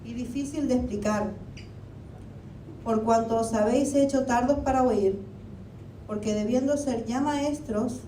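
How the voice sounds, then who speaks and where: A woman's voice: unhurried, long pauses, warm tone. A woman from Argentina preaching a sermon to a church, chatty, with many rhetorical questions. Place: church hall. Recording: echoey hall, mic at a distance, faint rumble.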